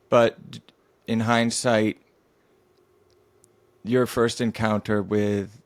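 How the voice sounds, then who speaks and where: A man's voice: lowish voice, creaky, dry recording. A man interviewing a guest, asking questions hesitantly and rephrasing them with fillers.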